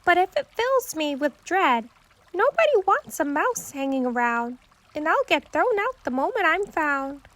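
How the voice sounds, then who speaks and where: A woman voicing a tiny young male mouse, timid, polite and forlorn, with a small squeaky voice, for a children's storybook. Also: big pitch swings, unhurried, a bit bright, no room sound, exaggerated voice. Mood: sad, timid.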